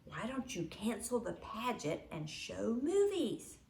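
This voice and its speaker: A woman's voice: medium pitch, sing-song, slow, vocal fry, darkish tone, roomy sound, exaggerated voice. A woman voicing a wry, teasing father from a children's storybook.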